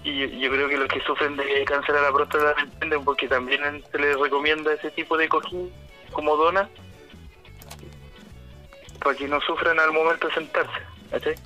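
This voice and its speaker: Bright-toned Chilean man, slangy and easygoing. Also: a little high, little pitch movement, moderate pace, muffled recording, soft background music. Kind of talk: casual chat.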